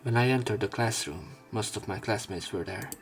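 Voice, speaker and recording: A man reading visual novel text aloud as a let's player, in a measured, serious manner. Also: on the low side, gravelly, faint music behind.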